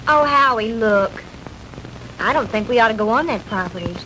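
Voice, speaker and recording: A woman's voice: a little high, expressive, moderate pace, bright, forceful tone. An actress playing a peppy, complaining young girlfriend in a 1960s B-movie. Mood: complaining, uneasy. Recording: noisy 1960s film soundtrack.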